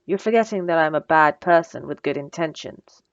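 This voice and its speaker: A woman playing a bossy, sarcastic supervillain with a soft spot, in a teasing, dry, conversational ASMR roleplay. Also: sits low, moderate pace, no room sound, lo-fi sound. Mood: flustered, guarded. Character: female supervillain, bossy and sarcastic, secretly flustered by compliments. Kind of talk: ASMR roleplay audio drama.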